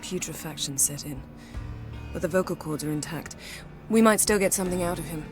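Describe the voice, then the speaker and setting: A woman's voice: low voice, brisk, music in the background, natural voice. A woman playing a haughty, impatient sorceress in a video game, with an imperious, sarcastic way of talking. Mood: dry, haughty. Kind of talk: dramatic video game acting.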